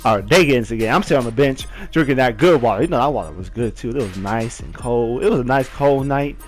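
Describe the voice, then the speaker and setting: A young man telling a personal football story in a casual, animated way, full of slang. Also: medium pitch, quick pace, faint music behind. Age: young adult.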